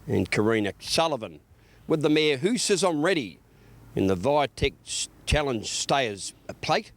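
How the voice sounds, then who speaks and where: A man's voice: mid-range voice, very animated, measured pace, no room sound. An Australian man narrating a scripted horse racing report as a sports reporter.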